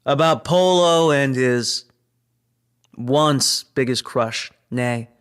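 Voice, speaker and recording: A man's voice: mid-range voice, drawn-out words, a bit bright, smooth. A man playing a chummy but guarded spaceship crewman in a naturalistic sci-fi audio drama, talking casually. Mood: teasing, chatty. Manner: casual, conversational.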